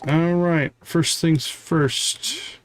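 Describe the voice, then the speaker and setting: A man's voice: fairly high pitch, lively intonation, extremely slow, a little hiss or hum. A man live streaming a video game, giving casual commentary as he thinks aloud and chats to viewers.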